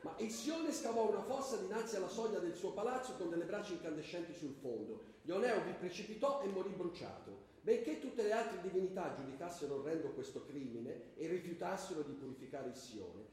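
A man playing a pupil who recites Greek myth in a halting, theatrical comic style. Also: high voice, animated, a little mellow, slight background noise. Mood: reciting. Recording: very echoey room, far from microphone.

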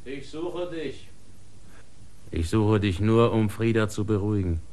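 A man's voice: fairly low pitch, expressive, measured pace, a little mellow. An actor in a German radio drama playing a smug young assistant who taunts his former master. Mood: taunting. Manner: cool, taunting, self-satisfied. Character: smug young male assistant, rival in love.

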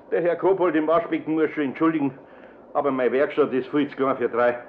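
A man's voice: on the high side, level tone, fairly quick, slight background noise, lightly acted. A male actor playing an older, fatherly master carpenter with a Bavarian accent in a radio play, plain-spoken and homely. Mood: good-natured. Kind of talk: German radio play acting. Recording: narrow, tinny old radio recording.

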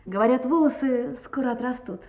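An actress playing a spirited, playful young woman in an audio drama, frank, affectionate and expressive. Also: high-pitched, brisk, lightly acted. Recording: slightly dull audio with music.

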